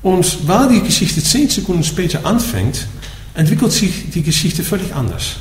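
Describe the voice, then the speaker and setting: A man's voice: high voice, big pitch swings, moderate pace. A man from the Netherlands with a Dutch accent, teaching Buddhism in German, explaining patiently and checking in with "ja?" after each point. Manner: explaining, asks "ja?" after nearly every point. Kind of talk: dharma talk to a group. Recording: echoey room with faint background noise.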